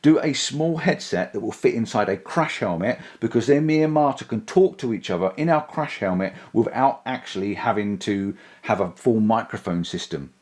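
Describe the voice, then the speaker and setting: A man's voice: on the low side, animated, normal speed, hardly any pauses, darkish tone. Chatty man testing gadgets. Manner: chatty, thinks aloud while testing. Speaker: vlogger reviewing gear. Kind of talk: casual product demo. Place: outdoors on a bike, then indoors.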